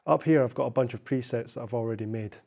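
A young man narrating a software tutorial in a measured, explanatory way. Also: medium pitch, creaky. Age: young adult. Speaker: software demonstrator.

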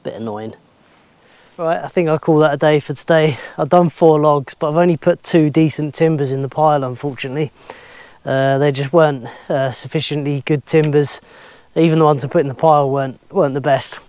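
Man in his thirties, fairly high voice, plain-spoken, dry outdoor sound, clip-on mic, casual vlog. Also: fairly even intonation, brisk, a little mellow, no room sound. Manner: plain-spoken, matter-of-fact, thinking aloud with fillers. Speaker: natural builder filming his own project. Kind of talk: casual self-filmed vlog. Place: outdoors at a sawmill yard.

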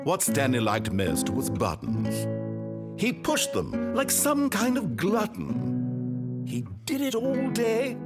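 An actor playing a smug, mocking game narrator who teases the player in dry, sarcastic tones. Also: male voice, medium pitch, huge pitch swings, slow, over loud music, heavily acted. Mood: sing-song rhyming. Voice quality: dry, teasing delivery with sly sarcasm.

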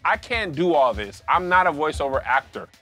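Animated, bright-voiced American man. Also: on the high side, moderate pace, soft background music. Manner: animated, exasperated. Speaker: comedian contestant. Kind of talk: casual comedic complaining. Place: podcast studio.

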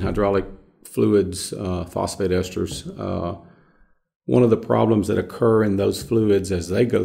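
A gravel-edged, mellow man in middle age speaks from deep in his range. He explains technical matters in a panel discussion, stressing points by repeating words. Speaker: filtration subject matter expert. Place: small conference room, indoors.

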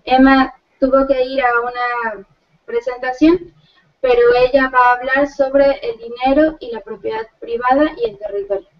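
A woman's voice: high-pitched, flat delivery, normal speed. A young woman giving a seminar presentation in a living room, thoughtful and hesitant with many fillers. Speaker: student presenter. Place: living room with others on a sofa. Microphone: far laptop webcam. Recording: distant, muffled video call audio.

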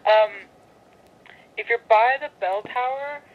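A woman's voice: fairly high pitch, takes it slowly. A woman on a speakerphone call patiently giving directions, searching for words as she explains. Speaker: university phone operator giving directions. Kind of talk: unscripted phone directions. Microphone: telephone heard over speakerphone. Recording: tinny noisy phone call through speakerphone.

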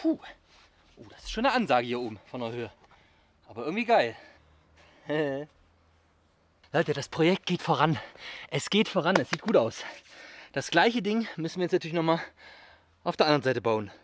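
Man, 30s, outdoor survival vlogger, casual and upbeat, somewhat high pitch, forest camera audio. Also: big pitch swings, normal speed, long pauses, slight background noise. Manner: chatty, addresses viewers directly, upbeat. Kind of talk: casual outdoor vlog talking to viewers. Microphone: action camera mic at a distance.

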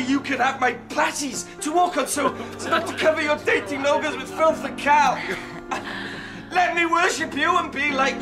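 A man playing a fawning teenage delinquent in a radio drama. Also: very high voice. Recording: loud music behind the voice.

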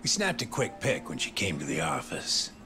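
An actor playing a sleazy middle-aged private detective in a video game, mocking and slangy. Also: male voice, rumbling low, huge pitch swings, moderate pace, music in the background, exaggerated voice. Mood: smug, taunting. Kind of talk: video game cutscene acting, English dub.

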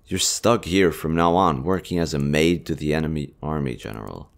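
Man acting a very deep-voiced, tender army general. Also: moderate pace, vocal fry. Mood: apologetic, sincere. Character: kind, earnest young male army general, secretly fond. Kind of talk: romantic audio roleplay acting.

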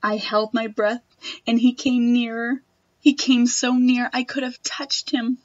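A young woman reading a picture book aloud to children in a gentle, expressive manner. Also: a little high, unhurried, no echo, dull audio. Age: young adult. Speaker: storytime reader. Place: wood-panelled room at home.

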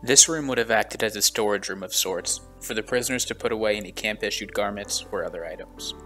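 A man reading a measured, solemn voice-over narration for a travel vlog walking tour. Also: lowish voice, pushed and bright, soft background music.

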